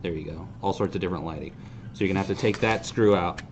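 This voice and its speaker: A man in his thirties casually hosting a hands-on how-to demonstration in a deadpan, self-deprecating way. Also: low voice, fast talker. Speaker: host of a fan group's how-to video. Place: indoor tabletop video shoot. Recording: clear background noise.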